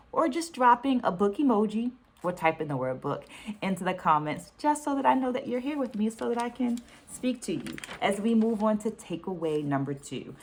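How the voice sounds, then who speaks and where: A middle-aged woman talking to viewers in a reflective book vlog, speaking articulately and deliberately, repeating phrases for emphasis. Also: fairly low pitch. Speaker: book reading vlogger, dancer and educator. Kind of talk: reflective book-takeaway vlog, semi-scripted talk to viewers.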